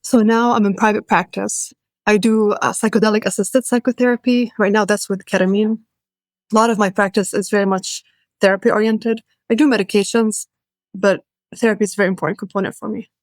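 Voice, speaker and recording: A middle-aged woman psychiatrist from Israel answers questions in a steady, explaining manner. Her pitch stays flat and even. The sound is dry and close. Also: a little fast, dry recording. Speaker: psychiatrist guest. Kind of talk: casual interview answers.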